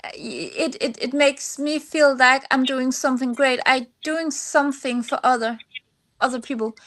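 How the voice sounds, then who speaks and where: Female podcast guest, high-pitched, Danish accent, second-language speaker, conversational and somewhat halting phrasing. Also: steady tone, fairly bright tone. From Denmark. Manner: halting, searching for words in non-native English. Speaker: singer-songwriter, podcast guest. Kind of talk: conversational interview answers.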